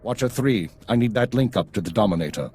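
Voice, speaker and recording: An actor playing a stern, controlled spymaster in a video game, formal and authoritative, with a husky voice. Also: male voice, fairly low pitch, animated, fast talker, warm tone. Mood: brisk, authoritative. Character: stern, controlled male intelligence chief.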